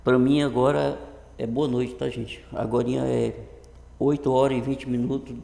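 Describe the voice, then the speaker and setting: A man in his early fifties with a northern Brazilian accent, talking casually and haltingly to camera, often repeating words, in an echoey improvised room. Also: mid-range voice, flat delivery, creaky, warm tone. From Brazil, Rondônia. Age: early 50s. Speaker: vlogger introducing his channel. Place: improvised untreated home room. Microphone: computer webcam setup.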